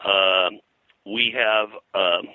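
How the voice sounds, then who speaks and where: An older man reminiscing as an interview guest in a halting, digressive way, often clearing his throat. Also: sits low, fairly even intonation, bright, forceful tone, no room sound, poor audio. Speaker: interview guest, comics historian.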